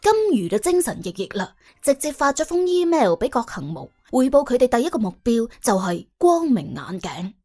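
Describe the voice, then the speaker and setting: A woman narrates a comic crime story in an expressive, conversational storytelling style. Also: on the high side, moderate pace, hardly any pauses. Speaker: audiobook narrator and host.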